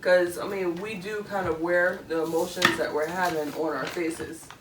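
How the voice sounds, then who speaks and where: A woman's voice: fairly low pitch, steady tone, normal speed. A woman, co-hosting a podcast in casual chat, rambling with many fillers. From Philadelphia. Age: 20s. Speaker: podcast co-host. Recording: echoey room, mic far off, faint noise.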